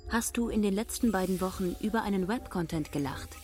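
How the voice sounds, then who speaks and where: An actress playing the impersonal, formal questioner of a secretive movement in a radio play, with a detached, scripted manner. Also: female voice, mid-range voice, a little fast, darkish tone, soft background music, close to an everyday voice.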